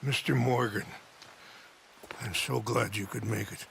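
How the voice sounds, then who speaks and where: An actor playing a weary, dignified old chief and worried father in a video game drama, solemn and measured. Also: medium pitch, fairly even intonation, gravelly, fairly bright tone, slight background noise. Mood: grave, sorrowful.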